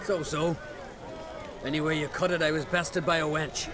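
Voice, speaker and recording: A man's voice: fairly high pitch, level tone, moderate pace, music in the background, lightly acted. Man as a brash young warrior. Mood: rueful. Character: young clan warrior, brash, rueful, proud brother. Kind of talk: game cutscene acting.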